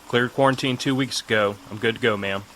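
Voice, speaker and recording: An actor playing a dutiful male army major giving crisp, respectful replies. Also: medium pitch, fairly even intonation, brisk, a bit bright, a little hiss or hum, lightly acted, low-quality recording.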